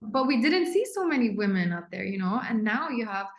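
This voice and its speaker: A woman's voice: a little high, very animated, brisk. A young woman who grew up in the UAE, chatting casually as an interview guest, laughing often and restarting her sentences. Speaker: guest, livery designer. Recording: roomy echo.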